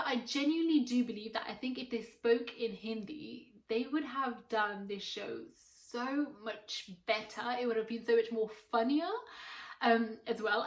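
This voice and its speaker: A young woman whose first language is Urdu talks in a loose, rambling vlog manner, full of fillers and restarts. Her voice sits a little high, and the room around her has a noticeable echo. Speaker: video blogger reviewing a series. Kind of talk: casual review vlog. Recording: echoey room.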